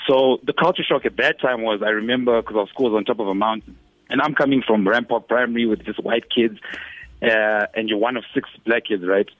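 A man's voice: fairly low pitch, a little fast, no room sound. A man in his thirties from Zimbabwe, a radio interview guest talking casually with lots of fillers and restarts. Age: 30s. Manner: relaxed, many fillers and restarts. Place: radio studio. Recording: dry, phone-like radio studio sound.

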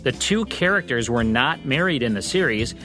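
A man's voice: medium pitch, animated, moderate pace, pushed and bright, soft background music. A man with an American accent presenting scripted history narration as a podcast co-host.

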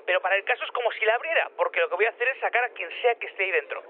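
A man playing an excitable, scatterbrained inventor who rambles, stammers and repeats himself over a phone link. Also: sits high, very fast, close to an everyday voice. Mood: eager, hurried. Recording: narrow phone-like radio link.

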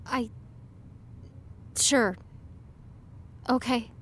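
Hesitant, slow-speaking teenage girl. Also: a little high, fairly bright tone, a little hiss or hum. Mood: awkward, defensive. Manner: hesitant, apologetic. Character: awkward, evasive teenage girl, high school senior. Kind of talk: scripted audio drama dialogue.

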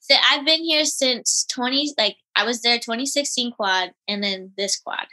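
A woman in her twenties, an elite gymnast and interview guest, talking in a relaxed, giggly way with many fillers. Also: a little high, normal speed. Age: 20s. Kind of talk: casual interview chat.

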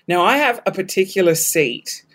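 A young Australian woman hosting her podcast at home, her voice worn hoarse, chatting in a rambling, self-mocking way with scattered asides. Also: mid-range voice, animated, moderate pace, fairly bright tone. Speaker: podcast host. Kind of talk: casual solo podcast chat. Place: home room, balcony door open.

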